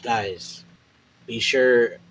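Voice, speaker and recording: A man playing a tabletop roleplay game, bantering casually and often repeating his phrases haltingly. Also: medium pitch, a loud crowd, poor audio.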